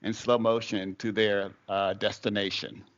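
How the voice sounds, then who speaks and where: A man's voice: fairly low pitch, level tone, a little slow. A man hosting a podcast, chatty and casual as he introduces a guest and asks questions. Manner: chatty, laughs while talking. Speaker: podcast host. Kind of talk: casual interview. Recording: dull lo-fi podcast audio.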